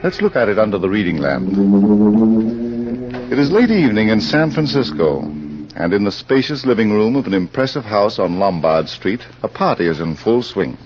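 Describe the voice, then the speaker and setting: An actor playing a suave, eerie crime club librarian in deliberate, theatrical old radio style. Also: male voice, on the low side, big pitch swings, over music. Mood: scene-setting. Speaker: host and narrator of mystery anthology. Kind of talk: 1940s radio acting, dramatic narration. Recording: muffled, noisy old radio recording.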